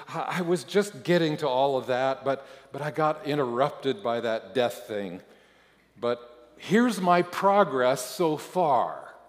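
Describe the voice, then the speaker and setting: A man's voice: mid-range voice, wildly swooping pitch, takes it slowly, voice pushed up. A man voicing a flustered believer who stammers and protests to justify himself before God in a spoken sermon story, in a reverberant hall. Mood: flustered, apologetic. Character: flustered, defensive believer arguing with God after death. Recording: echoey hall, long reverb.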